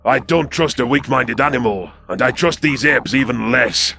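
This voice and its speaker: A man voicing a hostile, contemptuous alien predator soldier with a gravelly, sharp, sneering delivery in a sci-fi audio drama. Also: mid-range voice, moderate pace, voice pushed up. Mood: bitter, distrustful. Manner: sneering, spitting.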